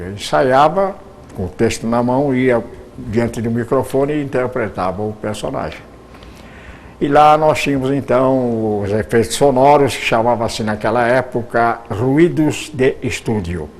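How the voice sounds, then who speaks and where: An older Brazilian man, a veteran radio actor, telling stories in an unhurried way with pauses and restarts as an interview guest. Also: mid-range voice, gravelly, darkish tone, a little hiss or hum. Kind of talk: reminiscing interview answers.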